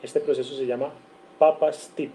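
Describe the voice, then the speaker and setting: A man's voice: medium pitch, steady tone, normal speed, dark tone, slight background noise, poor audio. A man from Cali, Colombia, with a Colombian accent, giving an earnest online seminar lecture over a video call, listing figures and often correcting himself. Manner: earnest, lists figures, frequent self-corrections. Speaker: guest lecturer.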